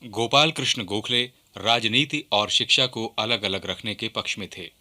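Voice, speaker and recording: A man's voice: fairly low pitch, moderate pace, sharp tone. A man delivering scripted radio documentary narration in a formal, declamatory manner.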